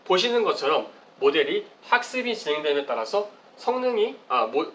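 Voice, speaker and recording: A man's voice: a little high, a bit bright, rough recording. A man giving a formal, explanatory research presentation with slides, as at an academic conference. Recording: clearly audible background noise.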